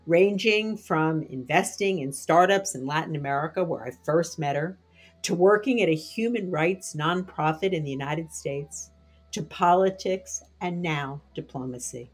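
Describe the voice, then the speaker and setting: Slightly husky woman hosting a podcast. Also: lowish voice, a little mellow. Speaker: podcast host. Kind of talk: scripted podcast introduction.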